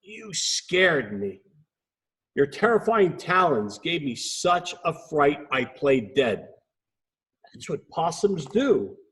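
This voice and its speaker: A man's voice: on the high side, very animated, slow, a bit bright, heavily acted. A man voicing a frightened, indignant possum in a children's storybook, accusing and dramatic.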